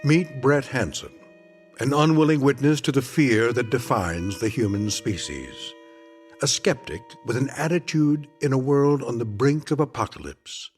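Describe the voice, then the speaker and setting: An actor playing an ominous, knowing narrator of eerie radio tales, speaking in a measured, dramatic way. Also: male voice, medium pitch, close to an everyday voice. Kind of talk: scripted anthology drama narration.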